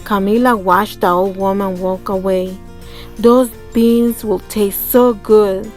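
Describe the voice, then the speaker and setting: A woman reading a children's story aloud in an expressive storytelling style. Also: mid-range voice, slow pace, soft background music. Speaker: storybook reader. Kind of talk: children's read-aloud narration.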